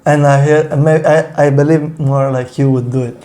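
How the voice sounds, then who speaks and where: A man's voice: fairly high pitch, level tone, moderate pace, mellow. A young man from Italy with an Italian accent, co-hosting a casual podcast chat in loose, broken English. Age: young adult. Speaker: podcast co-host.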